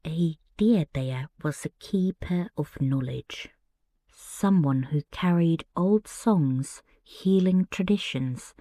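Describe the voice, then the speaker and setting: A woman from Finland with a Finnish accent, hosting a soft-spoken bedtime podcast and telling personal stories in a gentle, hushed way. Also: deep voice, dry recording. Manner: slow, hushed, gentle delivery. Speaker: podcast host.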